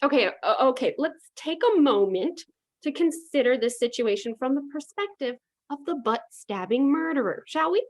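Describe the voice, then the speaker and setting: A woman's voice: sits high, lively intonation, moderate pace. A woman comedian narrating with wry, mock-reasonable commentary.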